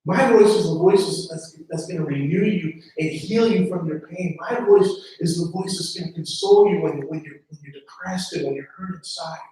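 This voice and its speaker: Man, pastor, earnest sermon delivery, stumbles and repeats openings; heard from afar, boomy reverberant room. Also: normal speed, hardly any pauses, warm tone. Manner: earnest, repeats words when starting phrases. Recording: very echoey room from a distance.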